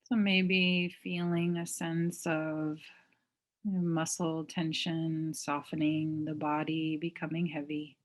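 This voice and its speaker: A woman leads a guided meditation at a very slow pace, leaving long pauses between phrases. Her voice sits on the low side, and her delivery is gentle, like a mindfulness teacher giving instructions. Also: little pitch movement.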